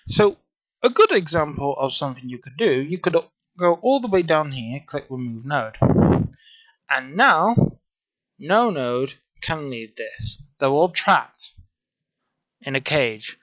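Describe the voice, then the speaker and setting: Chatty man with a bright voice. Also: a little high, sing-song, slow, rough recording. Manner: chatty, rambling, self-correcting. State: voice recovering after losing it. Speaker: software tutorial creator. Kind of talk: casual screen-recorded walkthrough.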